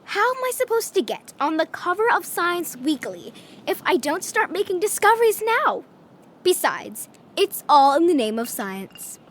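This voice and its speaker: A woman's voice: very high-pitched, sing-song, normal speed, a little hiss or hum. A woman voicing a bossy, brainy schoolgirl who loves science, pedantic and insistent, in a children's audio drama. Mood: eager, scheming.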